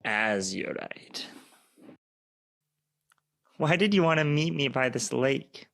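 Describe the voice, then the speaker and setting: A young woman from an amateur radio play, acted by a man, speaks in a dry, creaky voice. She is tender and defiant, putting her questions softly but with a hurt edge. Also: medium pitch, animated, slow, no room sound, pitched above the performer's own voice, full character voice. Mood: gentle, teasing.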